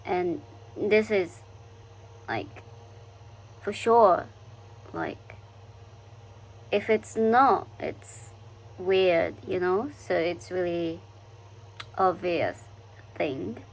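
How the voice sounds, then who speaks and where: A woman's voice: lowish voice, extremely slow, long pauses, low-quality recording. A woman with a Japanese accent talking reflectively in a personal vlog, searching for words with many fillers and restarts. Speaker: vlogger. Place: living in London.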